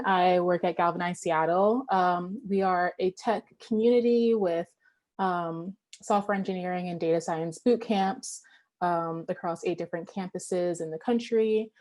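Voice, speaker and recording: Woman, partner representative, mellow tone, upbeat, ums. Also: fairly low pitch. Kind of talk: casual announcement. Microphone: video call.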